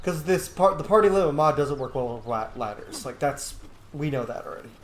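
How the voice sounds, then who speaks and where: A man's voice: on the high side, lively intonation, a little fast, slight background noise. A man who is sick, giving informal, casual commentary as he plays a video game. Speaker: gaming streamer. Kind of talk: casual let's-play commentary. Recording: roomy, with faint music behind.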